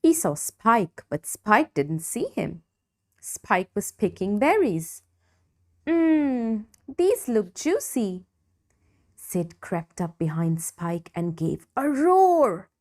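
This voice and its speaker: A woman narrates a storybook for young children at a very unhurried pace. Her intonation is lively and varied, with deliberate dramatic emphasis. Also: fairly low pitch. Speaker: storybook reader.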